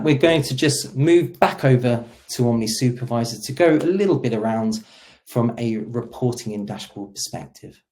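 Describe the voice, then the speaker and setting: Mellow-voiced man presenting a software demo. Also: medium pitch.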